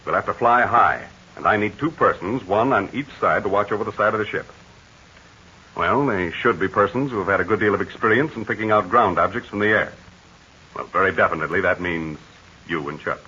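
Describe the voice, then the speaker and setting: An actor playing a confident, commanding heroic aviator in a 1940s radio serial. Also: male voice, lowish voice, a little fast, husky. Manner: steady, commanding, reassuring. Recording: dull lo-fi 1940 radio recording.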